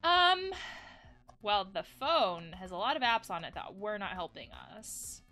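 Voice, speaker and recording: A woman in her thirties giving casual, expressive game commentary, thinking aloud in a conversational way. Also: medium pitch, unhurried. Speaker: counselor and video game streamer. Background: music.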